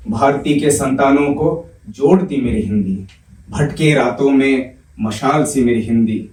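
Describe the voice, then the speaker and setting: A man's voice: medium pitch, a little mellow, a little hiss or hum. Young male poet reciting in a roomy club. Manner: measured recital of verse, chatty fast banter between poems. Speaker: poet and comedian. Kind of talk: poetry recital with stand-up banter. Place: comedy club stage. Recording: roomy club sound from a distance.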